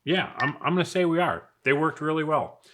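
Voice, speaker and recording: A man's voice: fairly high pitch, expressive, fairly quick, fairly bright tone, a little hiss or hum. An American man speaking conversationally, giving casual advice and opinions as a product reviewer talking to viewers. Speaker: product reviewer and off-road video host. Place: indoors in a house.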